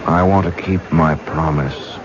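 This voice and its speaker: A soft-spoken serial killer who talks slowly and politely with a very deep, low voice. The menace stays under the surface. It sounds like a muffled old radio broadcast with steady hiss. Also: male voice. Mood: quietly menacing. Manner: slow, hesitant, quietly polite. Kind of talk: 1970s radio drama acting.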